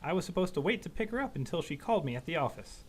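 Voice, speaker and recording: An actor playing an earnest, anxious young husband in a stagey radio drama. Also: male voice, on the high side, big pitch swings, rapid-fire, husky. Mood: uneasy.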